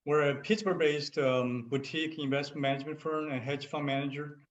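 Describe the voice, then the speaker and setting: A man's voice: on the high side, fairly even intonation, fairly quick, slight background noise. Man, roomy sound. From China. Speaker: panelist, fund founder. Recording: roomy, dull call audio.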